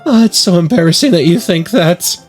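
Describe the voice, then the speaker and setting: A man's voice: sits high, expressive, fairly quick, soft background music, voice pushed up. A man voicing a shy, flustered, bookish teenage schoolgirl from a visual novel, hesitant and easily embarrassed.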